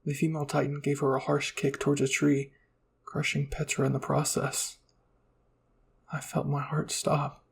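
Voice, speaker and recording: A man's voice: on the high side, completely flat delivery, normal speed, gravelly, slightly rough. A man narrating a scripted first-person roleplay story.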